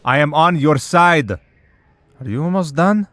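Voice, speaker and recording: A man's voice: a little high, animated, measured pace, a bit bright, slight background noise. A man voicing a centuries-old vampire who hunts monsters, wry, patient and dryly exasperated, in a scripted audio roleplay. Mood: exasperated, pleading. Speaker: voice actor.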